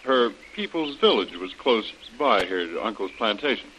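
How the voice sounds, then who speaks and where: An actor playing an earnest young plantation heir in 1930s radio style, explaining things readily. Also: male voice, fairly low pitch, sing-song, normal speed, sharp tone, lo-fi sound. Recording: faintly noisy old radio recording.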